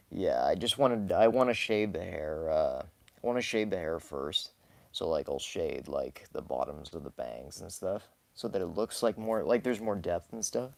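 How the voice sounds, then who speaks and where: A hoarse young man talks casually while sketching live, rambling softly with many fillers and small laughs. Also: sits low, expressive, a little slow, no echo. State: hoarse, scratchy from talking too much. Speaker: live-streaming sketch artist. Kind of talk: casual commentary while drawing.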